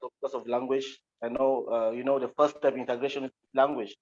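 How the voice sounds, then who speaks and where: A man's voice: mid-range voice, flat delivery, fast talker. Male panel guest, Cameroonian accent, mid-range voice, hesitant and plain in delivery, heard over a dull call connection. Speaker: interview guest, interpreter. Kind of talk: recounting personal experience in an online panel. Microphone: video call. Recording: muffled, dull online call audio.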